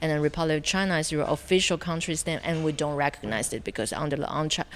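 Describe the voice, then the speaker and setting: Low-pitched woman with a Taiwanese accent. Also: a little hiss or hum. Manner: halting, searching for words. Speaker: interview guest, film director. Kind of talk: conversational interview answers.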